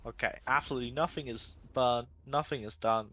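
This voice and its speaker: A man with a soft voice casting a live online game, joking about himself between plays. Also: medium pitch, brisk, lo-fi sound, slight background noise. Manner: self-deprecating, joking. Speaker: esports caster.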